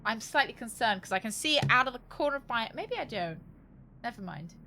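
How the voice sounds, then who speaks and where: High, animated woman streaming. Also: brisk, gravelly, slight background noise. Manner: playful, cheeky, rambling, sweary. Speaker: game streamer. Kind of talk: casual live game commentary, thinking aloud to chat.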